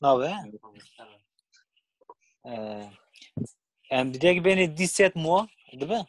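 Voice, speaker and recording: Slow, hesitant male learner. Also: on the high side, animated, no echo, rough recording. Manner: hesitant beginner French. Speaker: language learner.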